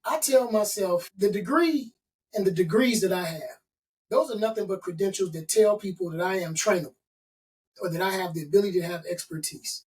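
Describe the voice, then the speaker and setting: A man's voice: sits high, fairly quick, recorded from a distance, poor audio. A middle-aged man from Chicago, a consultant and author being interviewed, talking in an emphatic, motivational way and stacking points in lists. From Chicago, USA. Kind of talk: interview conversation.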